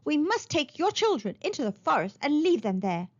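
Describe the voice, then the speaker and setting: A selfish storybook stepmother, played by a woman reader, bossy and insistent. Her voice sits high and moves sharply up and down, on a slightly dull recording. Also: very animated, moderate pace. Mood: cold, insistent. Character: harsh, selfish stepmother in a fairy tale.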